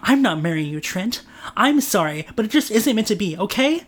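A man voicing a blunt, fed-up young woman who snaps loudly. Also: high voice, big pitch swings, moderate pace. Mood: exasperated. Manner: curt, loud.

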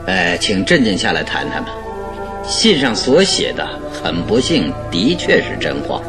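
An actor playing a shrewd, self-assured gentleman detective in a radio drama, with a theatrical manner. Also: low-pitched, huge pitch swings, unhurried, music in the background, heavily acted. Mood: calm, placating. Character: shrewd, jovial gentleman detective, calm and in control. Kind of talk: radio drama acting in Mandarin.

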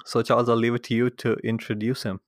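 A man's voice: mid-range voice, little pitch movement, fast, no echo, studio quality. A man hosting a podcast, opening the show with an upbeat scripted intro and then chatting with his guest. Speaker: podcast host.

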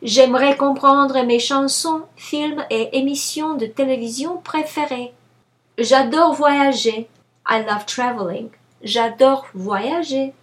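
A woman hosting a language lesson podcast, delivering scripted phrases in a deliberate, clearly separated way. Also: high-pitched, fairly even intonation. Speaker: language teacher and podcast host.

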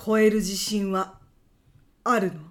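A woman's voice: mid-range voice, flat delivery, slow, natural voice. A woman playing a whimsical, gossip-hungry female personnel manager in a comedy radio drama, with a melodramatic delivery. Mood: disappointed. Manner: melodramatic, refers to herself by surname.

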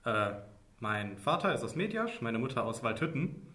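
A man's voice: medium pitch, big pitch swings, normal speed, a bit bright. A man in his twenties co-hosting a casual podcast, dry and joking. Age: 20s. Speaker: podcast co-host.

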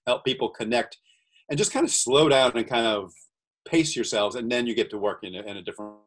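A man from the USA, a guest entrepreneur, chatting earnestly on a video call and reading aloud slowly. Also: mid-range voice, low-quality recording. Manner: earnest, reads cards slowly and deliberately. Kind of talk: casual video-call chat.

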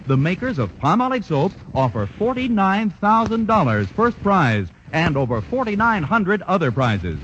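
A male announcer giving an emphatic sales pitch in a 1940s radio broadcast. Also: sing-song, moderate pace, few pauses, a bit bright. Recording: lo-fi old radio broadcast with faint hiss.

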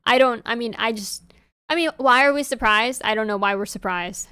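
A woman co-hosts a casual podcast chat, speaking English as a second language. Her voice is smooth and clear, recorded close and dry. She talks in an animated, rambling way, full of fillers. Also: on the high side, moderate pace, dry recording. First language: not English. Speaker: podcast co-host.

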